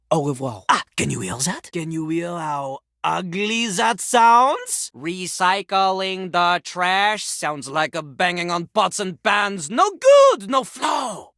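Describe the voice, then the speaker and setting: A man's voice: high voice, gravelly, fairly bright tone, no echo, clean studio sound, exaggerated voice. A man voicing a pompous French toilet who fancies himself a master rapper in a video game, haughty and dramatic with a French accent. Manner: haughty, dramatic, speaks of himself in third person. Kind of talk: exaggerated video game character voice acting.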